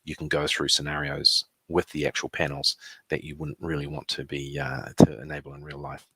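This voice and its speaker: A man with a New Zealand accent giving a conference presentation, relaxed, with many fillers and restarts. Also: very deep voice, brisk, husky, dry recording.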